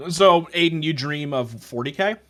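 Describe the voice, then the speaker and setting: A man with a fairly high voice co-hosts a casual chat. He is playful and informal, tossing in jokey remarks. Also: normal speed, fairly bright tone. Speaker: podcast co-host.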